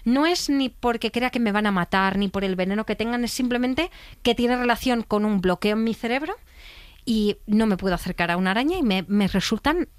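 A woman from chatting casually in an expressive, self-dramatising way. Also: a little high, fairly quick, no room sound. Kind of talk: casual chat.

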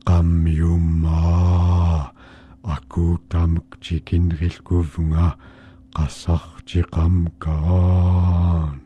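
A man voicing a menacing disguised male creature at the door, speaking slowly and threateningly. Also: sits low, completely flat delivery, highs cut off. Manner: slow, drawn-out and threatening.

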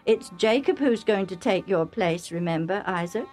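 A woman's voice: mid-range voice, animated, normal speed, darkish tone, soft background music, close to an everyday voice. A woman voicing a knowing, scheming wife and mother with a teasing, pointed delivery in a Bible story.

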